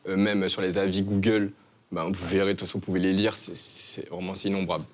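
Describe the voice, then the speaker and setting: A man's voice: deep voice, flat delivery, fast talker. A young man from French Guiana, a student guest on a TV talk show, speaking earnestly about his charity. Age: young adult. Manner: earnest, insistent, repeats "vraiment". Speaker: guest, association co-founder. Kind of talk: TV studio interview.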